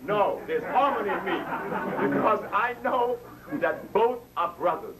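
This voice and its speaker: A seventy-year-old man lectures an audience in a preacher's cadence, pulling responses from the room. His pitch leaps up and drops down with lively swings. The mic sits well back from him, with faint background noise. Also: far from the mic, low-quality recording. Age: 70s. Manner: preacher-like, call and response with listeners. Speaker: speaker addressing an audience. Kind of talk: impassioned lecture.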